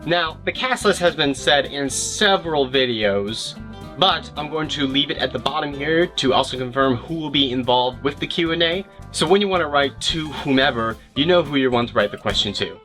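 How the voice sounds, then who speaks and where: A man's voice: medium pitch, moderate pace, a bit bright, soft background music. A man speaking informally and directly to viewers as an online creator, explaining guidelines in a casual video announcement.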